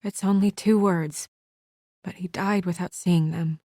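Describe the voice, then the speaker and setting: A woman playing an earnest, weary and conscientious young administrator in a video game, speaking in a measured, serious, sometimes hesitant way. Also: lowish voice, steady tone, warm tone, no echo, studio quality. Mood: serious, concerned. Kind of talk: naturalistic video game voice acting.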